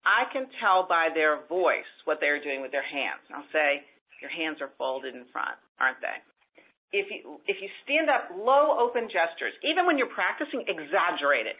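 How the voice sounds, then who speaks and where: A woman's voice: low-pitched, normal speed, slightly rough. Woman presentation coach, emphatic, heard through a phone-like line. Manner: lively, emphatic, gives examples. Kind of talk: call-in advice show.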